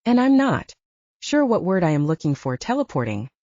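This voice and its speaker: A woman voicing an earnest, haunted young male half-werewolf in an audiobook, speaking in a halting, reflective way. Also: fairly low pitch, big pitch swings, mellow, no room sound. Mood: hesitant, searching. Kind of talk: audiobook character dialogue. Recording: dull, lo-fi, narrow sound.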